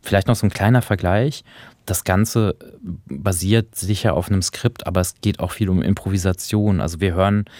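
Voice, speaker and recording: Male, deep-pitched, dry studio sound, radio co-host, analytical, reflective, conversational discussion. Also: moderate pace, darkish tone, dry recording. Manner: explanatory, reflective, intellectual phrasing.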